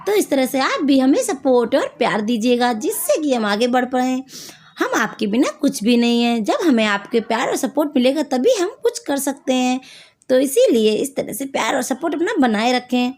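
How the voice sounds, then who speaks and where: A woman hosting a home cooking video, hoarse from a sore throat, chatting to viewers as she explains each step. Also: high voice, big pitch swings, moderate pace, darkish tone. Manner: chatty, addresses viewers directly. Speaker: cooking channel host.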